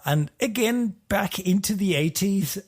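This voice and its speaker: A man with a somewhat high voice speaks as one of a podcast's hosts. His delivery is casual and conversational, as in an informal chat with a fellow host. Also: animated, measured pace, breathy edge.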